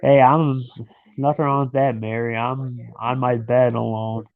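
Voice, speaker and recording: A man's voice: mid-range voice, level tone, a little slow. A man in his thirties with a stuffed-up nose, chatting and rambling to viewers from his bed at home on a live stream. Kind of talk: casual live stream chat. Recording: dry, phone-like lo-fi sound.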